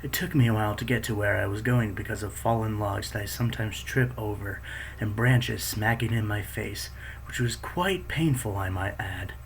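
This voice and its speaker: A man with a fairly low voice reads his own story aloud in a plain, steady way. His voice jumps higher when he speaks the quoted thoughts. A faint hum of room ambience sits behind him. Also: moderate pace, gravelly, low-quality recording. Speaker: fanfiction reader.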